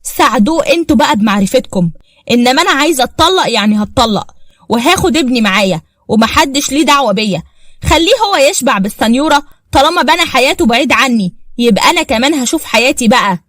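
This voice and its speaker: A woman's voice: lively intonation, fast talker, a little mellow, no room sound, studio quality. A woman with an Egyptian accent voicing a distraught young wife who pleads and then rages. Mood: bitter. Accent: Egyptian Arabic. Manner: pleading, then shrill and raging.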